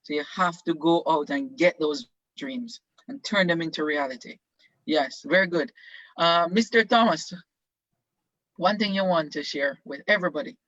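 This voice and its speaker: A man teaches a class over a video call with a bright, fairly high voice. He encourages his students and keeps asking them questions, filling pauses with "um". The audio is dry and a bit lo-fi. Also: dry recording. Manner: encouraging, questioning, many fillers. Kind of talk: leading an online class discussion.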